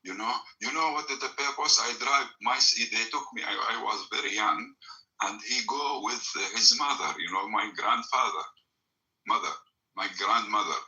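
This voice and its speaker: Emphatic man, bright tense voice. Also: fairly low pitch, moderate pace, low-quality recording. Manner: broken English with many fillers, emphatic. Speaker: storyteller recounting family memory. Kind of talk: emotional personal storytelling.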